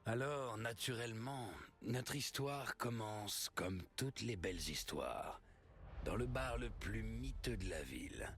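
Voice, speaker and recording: Game narrator: wry storytelling adventurer, unhurried, swinging intonation, theatrical pauses. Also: male voice, lowish voice, expressive, a bit bright, full character voice. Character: wry storytelling male adventurer recounting a tale.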